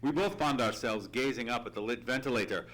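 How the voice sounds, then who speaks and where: A man's voice: mid-range voice, a little fast, fairly bright tone, natural voice, rough recording. Man acting Doctor Watson, earnest, suspenseful radio narrator. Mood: grave, horrified. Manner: theatrical, suspenseful, formal storytelling. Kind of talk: old-time radio dramatic storytelling. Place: theatre stage, live radio play.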